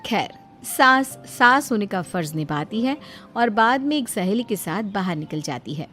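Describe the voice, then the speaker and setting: A woman's voice: medium pitch, animated, a little slow, sharp tone, faint music behind. A woman storyteller delivering scripted narration for a cartoon family moral tale.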